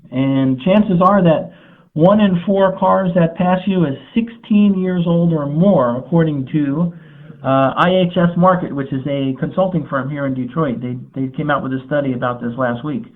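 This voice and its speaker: A man joining by phone as a guest, talking in a measured, informative way. His voice sits a little high for a man, and the tinny line squeezes it thin. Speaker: automotive journalist guest. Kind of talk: interview answers.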